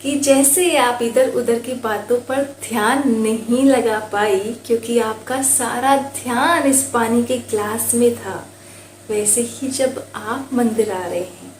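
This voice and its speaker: An Indian woman voicing a calm, wise male temple priest in a storybook tale, speaking politely and instructively. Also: on the high side. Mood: wise counsel. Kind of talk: storybook dialogue. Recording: roomy echo with faint background noise.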